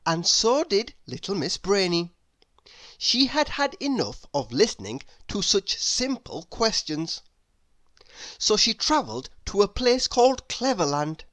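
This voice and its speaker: Slow, animated woman reading a storybook. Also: fairly low pitch, no room sound. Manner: expressive, deliberate storytelling. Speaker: storybook reader. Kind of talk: scripted read-aloud narration of a children's story.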